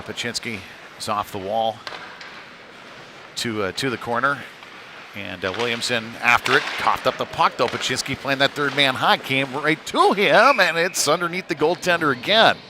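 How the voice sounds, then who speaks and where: A man doing live ice hockey play-by-play commentary in a conversational, informal manner. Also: mid-range voice. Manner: conversational, informal, tag questions. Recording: clearly noisy venue ambience.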